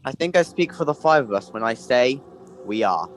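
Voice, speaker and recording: A man acts out a dutiful chief prison guard in an audio drama. His pitch is average but swings widely as he questions things. There is faint noise behind him. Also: lively intonation, moderate pace, natural voice, low-quality recording. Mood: resolute.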